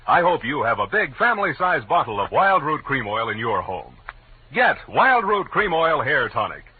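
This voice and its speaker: A man announcing and pitching a sponsor in a polished, declamatory 1940s radio style. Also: big pitch swings, moderate pace, husky, dry recording. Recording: narrow-band 1940s radio recording with music. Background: music.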